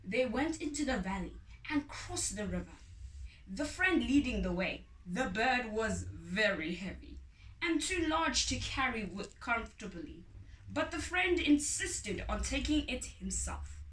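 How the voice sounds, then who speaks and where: A woman storyteller reads a storybook with deliberate, dramatic phrasing. Her pace is very slow and her high voice rises and falls widely. The room echoes around her, away from the microphone. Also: sing-song, fairly bright tone. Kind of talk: expressive storybook reading. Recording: echoey room, distant from mic.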